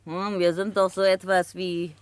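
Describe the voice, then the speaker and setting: A woman's voice: on the low side, level tone, normal speed, clear, resonant tone, dry recording, studio quality, natural voice. An actress playing a sly, mocking woman blackmailer in a 1960s radio drama. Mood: taunting. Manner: taunting, sly.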